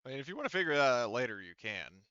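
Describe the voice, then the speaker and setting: A man's voice: medium pitch, very animated, racing, bright, forceful tone, clean tone, no room sound, dull audio. A man running an online tabletop game as game master, talking casually.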